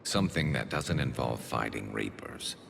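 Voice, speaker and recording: A man playing a wry, rebellious alien ex-security officer in a video game, with a gravelly, dry, laid-back delivery. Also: lively intonation, moderate pace, fairly bright tone, a little hiss or hum, full character voice. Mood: wry, friendly. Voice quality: very deep, gravelly. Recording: background music under dialogue.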